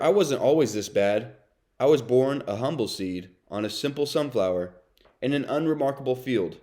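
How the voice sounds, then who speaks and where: A man's voice: medium pitch, natural voice. A man reading a children's storybook as a brooding, self-confessed bad sunflower seed, in a dramatic, confessional way. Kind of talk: children's read-aloud narration.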